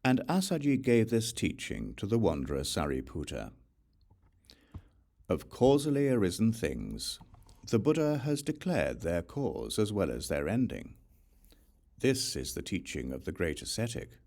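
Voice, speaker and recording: A man reading scripture as an audiobook narrator, in a measured storytelling way with expressive dialogue. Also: sits low, vocal fry.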